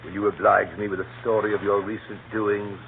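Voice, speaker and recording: A male actor playing a suave elderly villain in a 1930s radio drama, formal, measured and mockingly polite. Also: lowish voice, flat delivery, creaky. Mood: silky menace. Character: elderly gentleman villain, suave, coolly menacing, unhurried. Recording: muffled, hissy 1930s radio broadcast.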